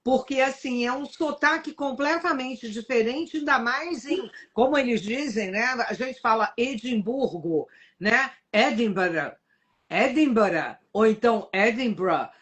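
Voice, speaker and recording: A woman's voice: a little high, lively intonation, a little slow, fairly bright tone. A woman with a Brazilian Portuguese accent hosting a live stream, chatty and telling personal anecdotes. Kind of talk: casual live-stream conversation.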